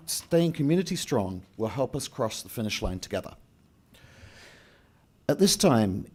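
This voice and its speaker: A man's voice: fairly low pitch, a little mellow. A man delivers a prepared speech from a podium at a banquet, reading steadily and adding the odd offhand aside. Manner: reads a script with occasional asides and restarts. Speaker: event speaker and emcee. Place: large banquet hall.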